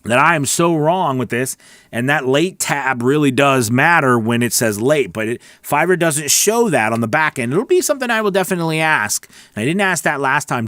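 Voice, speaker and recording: A man's voice: medium pitch, fairly bright tone, no echo. Male voice-over coach on a livestream, mid-range voice, dry close sound, chatty and encouraging. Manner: chatty and encouraging, thinks aloud with many restarts. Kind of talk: live Q&A, reading viewer comments and answering.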